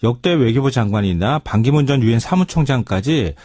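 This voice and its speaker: A man works as a news commentator, explaining things in a conversational way. His mid-pitched voice moves up and down expressively in a dry, close recording. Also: animated, a little fast, dark tone, no room sound.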